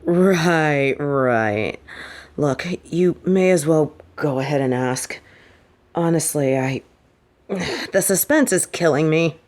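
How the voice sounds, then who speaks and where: A woman's voice: low voice, expressive, darkish tone. A woman playing a sardonic, vengeful supervillain with a tender side for her partner, in an intimate audio roleplay. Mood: flustered, hesitant. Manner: teasing, sighing, halting when confessing.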